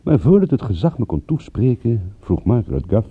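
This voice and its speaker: An actor playing a wry, world-weary private detective in a radio drama, sardonic, with a gravelly, husky voice. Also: male voice, fairly low pitch, sing-song, normal speed, dry recording, full character voice. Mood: wry, reflective. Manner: sardonic, dry-witted. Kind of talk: radio drama narration and dialogue. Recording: muffled, phone-like old radio recording.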